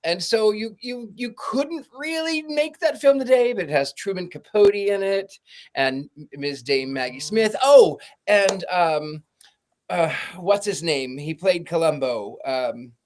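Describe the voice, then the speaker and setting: Male panelist, slightly high voice, lively storyteller who acts out quotes and searches for words mid-sentence. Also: a little slow. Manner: animated anecdote teller, acts out quotes, stumbles for words. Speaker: podcast panelist. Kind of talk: casual chat, storytelling.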